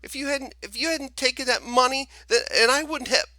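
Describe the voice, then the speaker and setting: A man's voice: very high voice, fairly quick, pushed and bright, no room sound, voice pushed up, full character voice. A man voicing a frantic young boy in a storybook, stammering and repeating his words as he pleads. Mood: angry, defiant. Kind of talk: storybook character voice.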